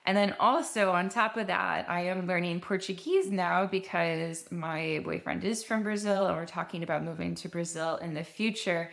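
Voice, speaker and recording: A woman's voice: on the low side, fairly even intonation, normal speed, gravelly, hardly any pauses, fairly bright tone. A young woman introducing her podcast episode in an earnest, conversational way, sometimes restarting her sentences. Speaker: podcast host.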